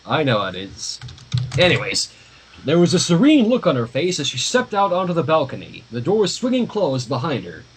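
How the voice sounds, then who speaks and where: Guy reading fanfic out loud on stream, kinda raspy, higher voice, really animated. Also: normal speed. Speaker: livestream fan fiction reader. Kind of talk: informal story readaloud with asides.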